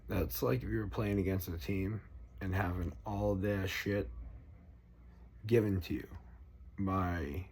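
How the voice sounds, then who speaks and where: A man's voice: low-pitched, takes it slowly, pauses often, darkish tone, husky. A man with a Boston accent ranting at length, swearing constantly and rambling. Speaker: political vlogger. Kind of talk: profane rambling rant to camera.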